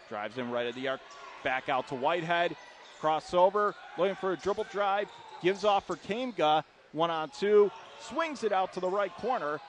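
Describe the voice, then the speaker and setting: High-pitched male basketball play-by-play announcer. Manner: clipped running phrases, emphatic on big plays. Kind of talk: live radio play-by-play commentary. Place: indoor college basketball arena. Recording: noisy courtside gym broadcast. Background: gym noise, bouncing basketball.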